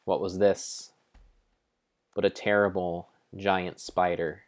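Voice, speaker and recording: A man giving an improvised dramatic narration of a game like an adventure storybook, with deliberate, drawn-out phrasing. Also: deep voice, level tone.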